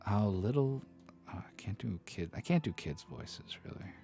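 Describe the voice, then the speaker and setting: A deep-voiced man reads a classic novel aloud, narrating with lively rises and falls. He reads dramatically and breaks off for self-deprecating asides. Soft study music plays faintly behind him. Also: moderate pace, gravelly. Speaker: streamer reading a novel aloud. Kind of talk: expressive book reading on a live stream.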